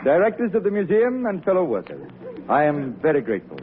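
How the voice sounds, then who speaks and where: A learned expedition director in an old-time radio play. He is formal and theatrical, with a lively, slightly high voice. The sound is thin and tinny. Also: a little fast, a little hiss or hum. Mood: gracious, formal. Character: learned male expedition director, courteous, growing uneasy. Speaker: archaeologist doctor. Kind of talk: 1930s radio acting.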